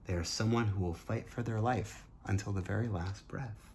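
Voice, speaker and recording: A man's voice: on the low side, moderate pace, a little mellow, a little hiss or hum. A man reading a picture book aloud as a teacher, earnest and emphatic, with explanatory asides to young listeners. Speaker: teacher and host of a children's read-aloud show.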